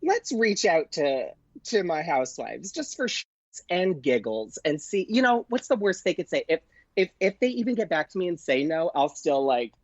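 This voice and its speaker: A man chatting casually as a podcast guest, telling stories in an animated way and acting out what people said. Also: fairly high pitch, normal speed, no room sound, highs cut off. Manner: animated, acts out quoted exchanges. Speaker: podcast guest, performer and producer.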